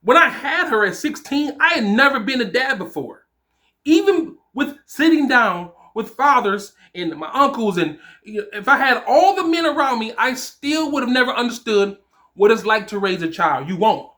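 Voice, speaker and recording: A man's voice: very high voice, expressive, normal speed, sharp tone. A man in his forties giving an informal, encouraging motivational talk to fathers, speaking emphatically as a coach. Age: 40s.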